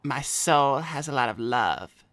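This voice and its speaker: A man's voice: medium pitch, animated, slow, a bit bright, dry recording, faint music behind. A man voicing a cocky, touchy adult hedgehog ladies' man in improvised livestream banter, with a pompous, defensive manner. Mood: cocky, puzzled. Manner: defensive, pompous new-age phrasing.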